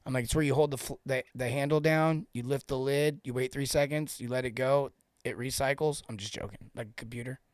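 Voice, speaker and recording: A man in his forties hosting a casual live-stream coaching talk, joking and rambling off into asides with informal, animated banter. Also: mid-range voice, a little fast, no room sound, studio quality. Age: 40s. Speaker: host and sales coach for plumbers.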